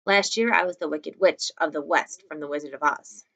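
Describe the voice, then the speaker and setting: A woman's voice: low-pitched, moderate pace, vocal fry, a bit bright, full character voice. A woman voicing an eager schoolgirl bookworm from a storybook, emphatic and knowing. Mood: chatty. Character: schoolgirl, eager, matter-of-fact bookworm friend.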